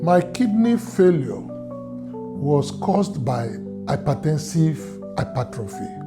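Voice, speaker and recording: A man from Nigeria telling his own story in a slow, halting, earnest way, with music under his voice. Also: a little high, very animated. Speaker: fundraiser subject. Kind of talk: personal testimony.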